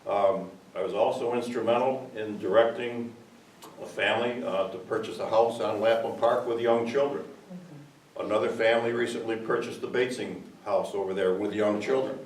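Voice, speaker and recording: An older man, a local resident, giving a loud and earnest public comment at a village meeting, rambling with fillers. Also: lowish voice, steady tone, moderate pace. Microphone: far room mic. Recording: echoey hall, distant mic, faint hiss.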